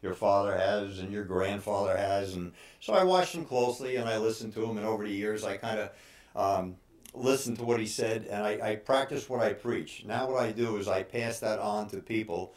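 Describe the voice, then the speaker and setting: A man in his sixties giving informal advice as a personal trainer, speaking haltingly with frequent pauses. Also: fairly low pitch. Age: 60s. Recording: roomy, echoing room sound.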